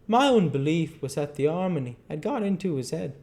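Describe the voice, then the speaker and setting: A man's voice: a little high, lively intonation, fairly quick, a little mellow. A man reading a classic novel aloud as an amateur narrator, stumbling and repeating phrases as he goes. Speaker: amateur audiobook reader.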